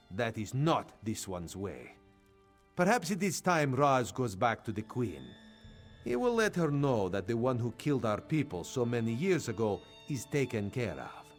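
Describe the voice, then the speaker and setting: A man voicing a formal cat-folk spy. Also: mid-range voice. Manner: refers to himself by name, formal and measured. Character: sly male cat-folk spy speaking of himself in third person.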